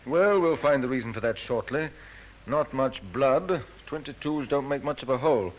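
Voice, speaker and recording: A man's voice: medium pitch, natural voice. A man playing a stern, methodical Scotland Yard inspector with a British accent in a 1950s radio drama. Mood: grave. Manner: brisk, authoritative. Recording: dull old radio recording.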